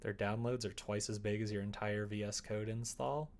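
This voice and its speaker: Lowish voiced guy on stream, rambly, just thinking out loud to chat. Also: steady tone, normal speed, slight background noise. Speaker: live streamer. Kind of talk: casual chat with stream viewers.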